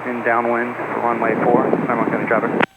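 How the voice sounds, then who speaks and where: A man's voice: mid-range voice, completely flat delivery, fairly quick. A man speaking as a pilot, making procedural traffic position calls over aviation radio. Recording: dull, very noisy aircraft radio.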